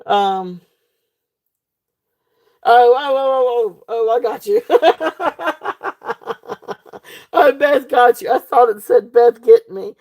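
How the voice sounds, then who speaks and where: Woman, live sale host, mellow gravelly voice going hoarse, chatty with plenty of fillers. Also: fairly high pitch, slow, pauses often. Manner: chatty, fillers, reads comments aloud. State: losing her voice. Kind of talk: live auction selling chat.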